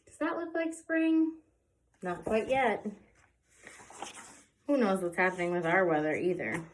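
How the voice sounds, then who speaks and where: A woman reading a picture book aloud to young children in an expressive storytelling way, pausing for little asides. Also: on the low side, a little hiss or hum. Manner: slow, animated, pausing for asides. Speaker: children's read-aloud presenter.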